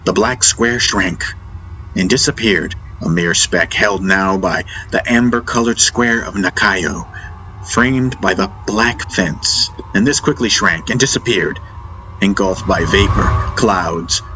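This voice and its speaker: A man reading a dramatic first-person audiobook story in deliberate, theatrical phrases with weighty pauses. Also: low-pitched, pushed and bright, rough recording. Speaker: audiobook narrator. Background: atmospheric music soundtrack.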